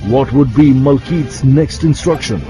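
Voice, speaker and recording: A man's voice: mid-range voice, a little slow, rough recording. A man delivering scripted documentary narration, as a television narrator. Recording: loud music bed under the voice.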